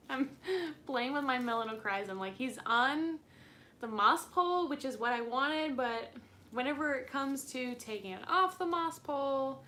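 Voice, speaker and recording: A woman's voice: a little high, sharp tone, a little hiss or hum. A young woman hosting a casual live stream, chatting in a bubbly, rambling way with frequent giggles and lots of "like". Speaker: plant channel host.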